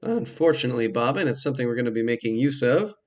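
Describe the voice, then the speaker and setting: A man giving casual, measured commentary as he hosts a video game walkthrough, explaining things hesitantly with dry asides. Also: medium pitch, expressive, muffled recording. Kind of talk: casual let's play commentary.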